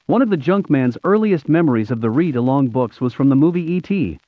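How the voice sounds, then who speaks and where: Animated American man, tinny narrow sound. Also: medium pitch, fairly quick. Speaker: YouTube show host. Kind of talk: scripted nostalgic narration in read-along storybook format.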